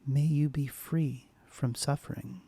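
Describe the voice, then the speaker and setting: A man hosting a soft-spoken ASMR guided meditation, speaking slowly and gently, often dropping into a whisper. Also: a little high, steady tone, slightly rough, no echo. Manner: slow, soft, partly whispered. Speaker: ASMR host.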